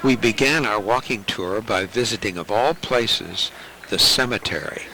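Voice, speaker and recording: A man narrating a home travel video in a measured, informative way. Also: on the low side, sharp tone, slight background noise, low-quality recording.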